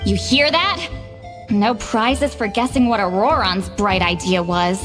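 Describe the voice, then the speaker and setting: A woman's voice: medium pitch, measured pace, big-room sound, over loud music, lightly acted. A woman playing a proud, easily flustered, youthful-sounding granny shaman in an anime-style game, haughty but caring, with sighs and stammers. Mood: indignant, scolding.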